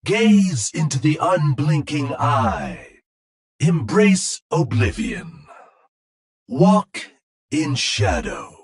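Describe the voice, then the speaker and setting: A solemn mystic guardian for a video game. The husky, breathy voice sits fairly high and moves slowly and formally, ominous and close in a dry sound. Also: male voice, sing-song, dry recording, heavily acted. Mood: ominous, mystical. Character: solemn, mystical monk guardian speaking in riddles. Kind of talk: video game character voice lines.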